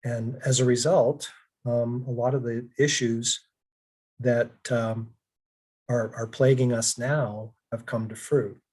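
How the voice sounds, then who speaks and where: Male panelist, mid pitch, earnest and plainspoken, some hesitation, video call sound. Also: level tone, slow, a little mellow, slightly rough.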